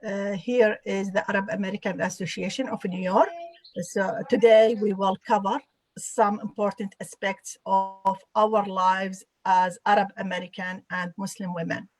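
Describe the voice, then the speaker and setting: A woman from Iraq, an adult education instructor, hosting a roundtable and calling on guests politely, with halting speech and many fillers. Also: medium pitch, steady tone, soft background music, rough recording. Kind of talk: formal roundtable introductions.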